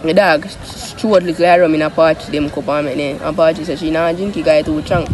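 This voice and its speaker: A young man with a Jamaican accent telling stories casually to friends in an animated, repetitive, slangy way. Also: a little high, fast. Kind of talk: casual storytelling among friends. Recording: noisy outdoor recording with traffic.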